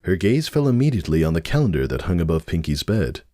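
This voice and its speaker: A man's voice: sits low, big pitch swings, fast talker, warm tone, no echo, studio quality. A man narrating a story in a scripted, dramatic reading style. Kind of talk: dramatic fan fiction narration.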